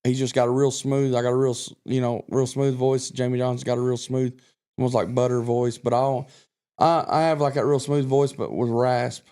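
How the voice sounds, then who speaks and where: A man's voice: medium pitch, little pitch movement, moderate pace, warm tone. Self-assured Kentucky-raised man, podcast guest. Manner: self-assured, rambling with restarts. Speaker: guest country singer. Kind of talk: casual podcast chat.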